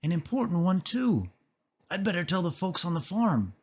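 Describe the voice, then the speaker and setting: A man voicing an old, excitable farm dog in a storybook, eager and self-important. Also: sits high, normal speed, husky, dull audio, voice pushed up, exaggerated voice.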